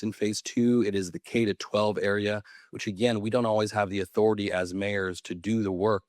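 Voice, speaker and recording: A man's voice: on the low side, a little mellow, no room sound, studio quality. A man in his thirties from California, a mayor speaking on a conference panel, talking with earnest, passionate conviction. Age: 30s. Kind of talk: panel talk to an audience.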